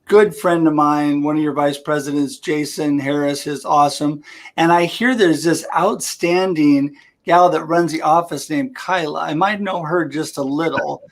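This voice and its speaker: Brief: enthusiastic male talk-show host, slightly higher voice, on a video call. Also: fairly even intonation, normal speed. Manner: upbeat and enthusiastic. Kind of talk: live-streamed interview.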